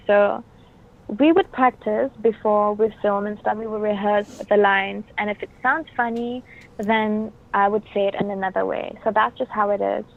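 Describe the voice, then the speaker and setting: Young bruneian woman, soft and hesitant with lots of ums, tinny phone line sound. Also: medium pitch, gravelly. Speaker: actress guest. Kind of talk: interview answers.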